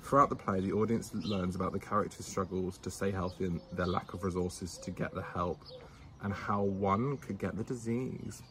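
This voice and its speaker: A young man with a lowish voice reads his notes aloud outdoors, tripping over words and starting again. He teases his co-host between lines. Faint birdsong drifts in behind him. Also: steady tone, moderate pace, fairly bright tone, slight background noise. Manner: teasing, stumbles while reading, restarts words. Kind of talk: casual chat, reading notes aloud. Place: outdoors in the sun.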